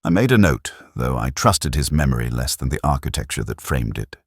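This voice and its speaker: A man playing a brooding, literary police inspector who narrates his case in a measured, reflective, melancholic way, as in a scripted audio drama. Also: very deep voice, sing-song, no echo, clean studio sound, exaggerated voice. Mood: pensive, wary.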